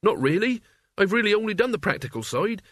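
A man's voice: high voice, sing-song, quick pace, fairly bright tone, no echo, pitched above the performer's own voice, exaggerated voice. Audiobook role: artless boy of sixteen, voiced by a man, blunt, well-meaning, naive. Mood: puzzled. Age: teenage.

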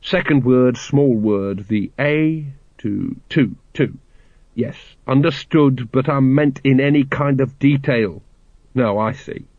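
Brief: man reading as a worn-out middle-aged captain, low and dry, dull sound. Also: fairly even intonation, normal speed, few pauses, dry recording, lightly acted. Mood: weary. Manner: tired, dry, weary. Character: weary, hard-drinking middle-aged male watch captain.

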